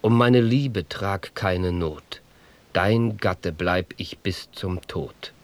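Deep, slow, solemn crusader knight. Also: male voice. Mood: solemn, tender. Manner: declaiming, solemn, old-fashioned diction. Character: solemn pious crusader knight count, devoted husband. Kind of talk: rhymed fairy-tale radio play.

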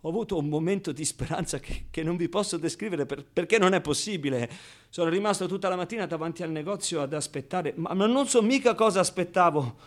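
A scrappy, wounded orphan tells his childhood story in a radio play, acted by a man. The voice sits a little high. The delivery is colloquial and theatrical, full of halting repetitions, moving between outbursts and quiet confiding. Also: animated. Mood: wistful, subdued. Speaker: stage actor performing a monologue. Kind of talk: theatrical first-person radio monologue.

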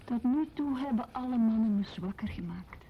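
A woman's voice: a little high, expressive, moderate pace. An actress playing a troubled, introspective wife in a radio drama monologue, confessing her unease. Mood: bitter. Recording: dull radio play, faint noise.

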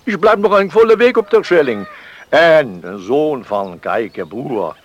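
An actor playing a jovial, wheedling pedlar in a radio play, chuckling and repeating himself with a German accent. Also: male voice, a little high, lively intonation, a little slow, highs cut off, a little hiss or hum. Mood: hearty, conspiratorial. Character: jovial wheedling foreign pedlar with a cabinet on his back.

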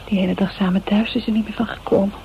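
A woman acting a resigned, plain-spoken character with a Netherlands Dutch accent talks conversationally, as if confiding. Her voice is noticeably creaky. The radio play recording is muffled and noisy. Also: mid-range voice, level tone, quick pace, close to an everyday voice.

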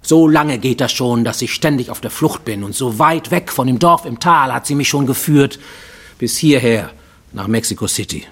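Husky, haunted fugitive. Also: male voice, mid-range voice, animated, moderate pace. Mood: brooding, determined. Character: haunted Japanese man on the run, brooding, intense. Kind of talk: radio play monologue, confessing to a professor.

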